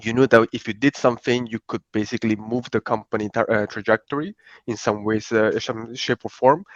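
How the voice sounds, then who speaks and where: Low-voiced man, dry dull recording. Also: no echo. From Italy. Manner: relaxed, rambling with many fillers. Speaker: guest. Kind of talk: conversational podcast interview.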